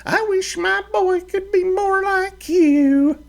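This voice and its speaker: A man voicing a small, fiery-tempered ranch mother who scolds and bosses her grown son, with a sharp, high put-on voice. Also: slow pace, vocal fry, full character voice. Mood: scolding.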